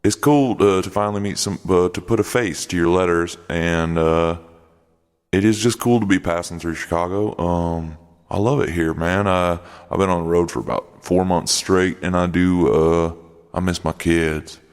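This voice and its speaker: A man's voice: deep voice, fairly even intonation, moderate pace, smooth, lightly acted. A man voicing a laid-back male road comic and traveling dad in an improvised comedy radio play, easygoing and casual. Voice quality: easygoing, drawling "man" and "dude". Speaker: guest comedian. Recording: long hall reverb.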